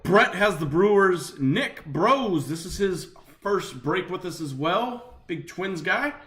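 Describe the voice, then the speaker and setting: A young adult man hosting a live sports card break, slightly raspy and chatty as he opens packs. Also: sits high, expressive, takes it slowly, a bit bright. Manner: upbeat, chatty, talks while opening packs.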